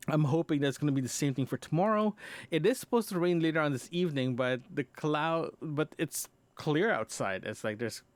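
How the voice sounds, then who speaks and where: A man's voice: fairly high pitch, animated, normal speed, dry recording. A man chatting casually in a personal audio diary, rambling and often repeating and rephrasing himself. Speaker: podcast host.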